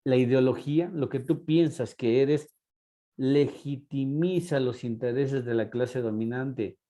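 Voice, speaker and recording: A man's voice: medium pitch, unhurried, mellow, lo-fi sound. A man with a Mexican accent, lecturing a class and asking rhetorical questions. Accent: Mexican Spanish. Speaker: teacher.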